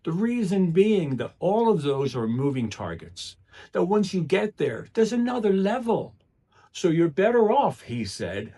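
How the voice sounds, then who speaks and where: Husky, animated man giving a speech. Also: on the high side. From Ireland. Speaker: public speaker. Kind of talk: motivational club speech with storytelling.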